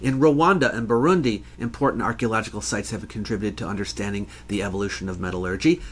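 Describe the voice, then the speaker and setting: A man hosting an educational show, reading scripted explanatory narration in a measured, scholarly way. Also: on the low side. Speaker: host answering viewer questions.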